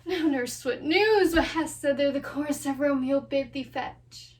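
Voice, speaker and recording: A high-voiced, lovestruck young noblewoman acted by a woman speaks classical verse at an unhurried, lingering pace. She is impatient and full of passionate yearning, in a theatrical stage style. The microphone sits at a distance from her. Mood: breathless excitement. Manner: dramatic, lingering, swelling from hushed to fervent. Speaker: drama student performer.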